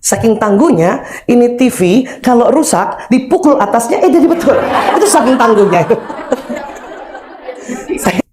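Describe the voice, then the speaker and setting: A woman's voice: a little high, expressive, normal speed, pauses often, a little mellow. Chatty woman giving a seminar talk. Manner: humorous, chatty, quizzes the audience. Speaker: seminar speaker on parenting. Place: seminar hall before an audience.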